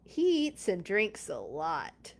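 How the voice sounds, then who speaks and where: A woman reading a children's picture book aloud in an expressive, playful way, with chatty casual asides. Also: lowish voice, slow pace.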